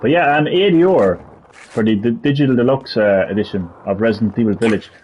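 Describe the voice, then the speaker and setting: A man hosting a casual gaming stream, rambling, laughing often and reading web text aloud haltingly. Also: mid-range voice, a little mellow, slight background noise. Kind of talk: casual gameplay chat.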